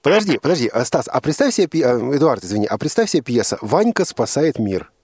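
A man's voice: medium pitch, big pitch swings, quick pace, a little mellow, husky, dry recording. A man hosting an informal radio interview, probing by repeating and rephrasing his questions. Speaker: radio host interviewing a young guest.

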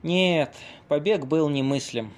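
A man playing a worldly Casanova, theatrical and fairly high-voiced. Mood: worldly, dramatic storytelling. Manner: dramatic storytelling with weighty pauses. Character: summoned Casanova, worldly theatrical Venetian seducer. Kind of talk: amateur audio play acting.

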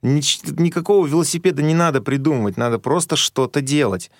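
A man's voice: a little high, fairly quick, no echo. A man as a guest on a radio interview, thinking aloud and searching for words. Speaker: guest musician. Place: radio studio.

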